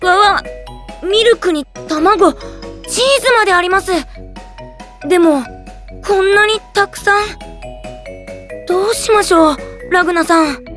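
Very high boyish voice, polite, eager, expressive young adventurer, game drama acting, music behind. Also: female voice, a little slow, pauses often. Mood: surprised. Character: earnest, polite, friendly teenage boy adventurer.

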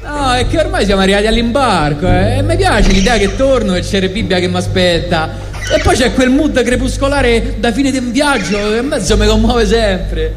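A man's voice: high voice, expressive, moderate pace, big-room sound, music in the background. A man with a Roman accent performing a sarcastic, profane, mock-epic comic monologue in the first person. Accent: Italian, Roman. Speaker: storyteller.

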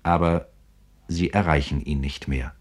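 Male radio narrator: deep voice, formal and articulate, scripted literary read. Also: fairly even intonation, measured pace, husky.

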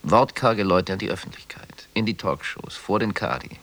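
An actor playing a scheming, commanding conspirator in a radio drama, speaking in theatrical, clipped phrases. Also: male voice, low voice, expressive, moderate pace, a bit bright. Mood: insistent, commanding. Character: scheming, commanding male conspirator pressing his plan.

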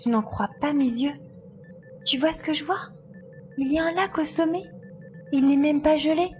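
Casting: actress as resolute female pilot in an audio drama, higher voice, commanding delivery, music underneath. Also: normal speed, lightly acted. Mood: amazed. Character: determined female glider pilot searching for her babies. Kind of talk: audio drama acting in French.

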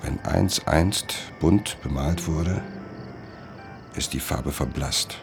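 A man playing a sombre traveller, narrating a literary radio drama in a measured, descriptive way. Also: extremely low pitch, gravelly, music in the background, exaggerated voice. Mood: sombre, observant.

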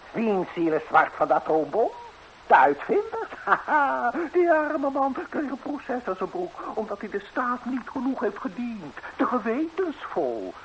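An actor playing a grumbling, world-weary devil hungry for souls in a 1960s radio fairy tale. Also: male voice, extremely high pitch, heavily acted, poor audio. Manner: ranting, grumbling, exclamatory, with scornful laughs. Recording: hissy 1960s radio recording.

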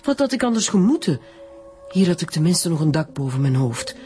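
An actress with a Flemish accent playing a bitter widow making a confession in a radio drama. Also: female voice, fairly low pitch, big pitch swings, a little fast, dark tone, faint music behind. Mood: resigned, confessing. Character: bitter, confessing middle-aged widow of an undertaker.

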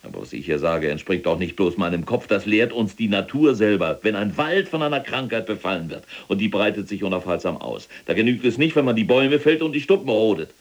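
A man's voice: lowish voice, animated, a little fast, hardly any pauses. A man playing an imprisoned, fanatical older SS general in a German audio drama, pompous and lecturing in manner. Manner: pompous, lecturing, indignant when contradicted. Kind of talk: German audio drama acting, monologue and argument.

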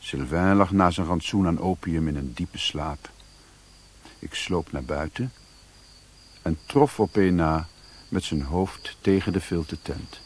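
Sombre adventurer, very low pitch, husky, scripted radio drama narration. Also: male voice, unhurried. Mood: reflective. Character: male adventurer recounting his arduous journey, sombre.

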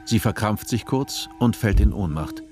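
A man's voice: on the low side, lively intonation, moderate pace, darkish tone, natural voice. A man narrating a radio play in a detached, descriptive, scripted manner. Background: music.

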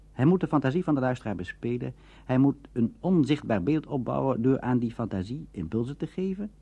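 Mellow, husky male lecturer, very lively intonation. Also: medium pitch, dry recording. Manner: formal, measured phrasing with emphatic pauses. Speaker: narrator, lecturer. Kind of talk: scripted explanatory narration.

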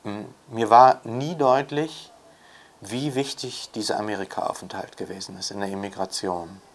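A man's voice: on the low side, steady tone, moderate pace. An older man talking off the cuff as an interviewed author and scholar, reflective and emphatic, often adding rhetorical tags. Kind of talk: unscripted intellectual interview talk.

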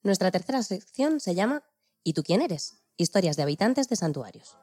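Mellow Spanish woman, dry studio sound. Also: medium pitch, very animated, moderate pace, no room sound. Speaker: co-presenter, interviewer. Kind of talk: scripted presentation.

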